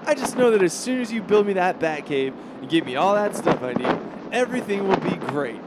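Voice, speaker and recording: A man's voice: sits high, very animated, brisk, heavy background noise. An actor voicing a spoiled, arrogant teenage rich boy in a comedic cartoon, petulant and pompous in manner. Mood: bratty, entitled. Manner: pompous, petulant, foul-mouthed.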